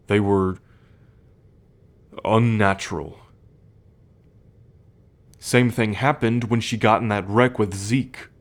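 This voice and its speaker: A young man playing a troubled, protective male werewolf in an ASMR roleplay, speaking in a measured, halting, confiding way. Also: lowish voice.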